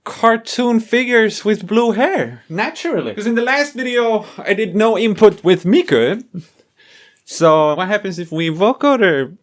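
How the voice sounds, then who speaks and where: A man's voice: sits high, lively intonation, a little slow. A man hosting a casual experimental music vlog, thinking aloud in a playful, exclamatory way. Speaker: video host and musician experimenting with audio gear.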